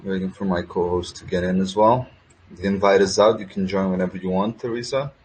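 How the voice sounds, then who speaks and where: A man's voice: low-pitched, fairly even intonation, fairly quick, darkish tone, slight background noise, poor audio. A man hosting a casual live audio chat, laid-back and joking with slang. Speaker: host.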